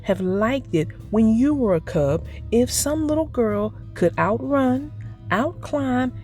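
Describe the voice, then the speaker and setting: A woman voicing a mild, careful mother bear in a picture book, fretting gently. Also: fairly high pitch, animated, slow, faint music behind. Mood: mildly concerned.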